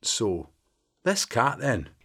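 A man voicing a wry, teasing Scottish detective past forty with a deadpan humour. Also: mid-range voice, extremely slow, husky, pitched above the performer's own voice, heavily acted.

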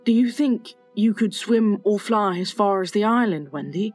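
A man's voice: sits high, expressive, normal speed, faint music behind, pitched above the performer's own voice. A man voicing a brave, wounded young boy in a storybook reading, weary but gallant. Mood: weary, grave.

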